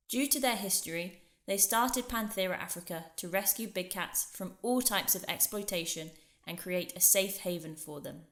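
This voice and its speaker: A woman giving a measured, formal scripted narration. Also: medium pitch, a bit bright, echoey room.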